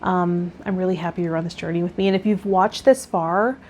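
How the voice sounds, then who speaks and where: A woman hosting a tarot vlog, talking in a gentle, encouraging way as she reads and explains. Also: on the low side, steady tone, brisk, slight background noise. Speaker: tarot reader and channel host. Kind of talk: reading aloud and casual spiritual vlog talk.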